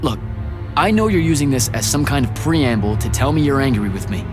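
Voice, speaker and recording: An actor playing a cocky, irreverent young soldier hero in a video game, with a casual, wisecracking delivery. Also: male voice, mid-range voice, expressive, brisk, music in the background, natural voice. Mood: defensive. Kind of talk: naturalistic video game cutscene acting.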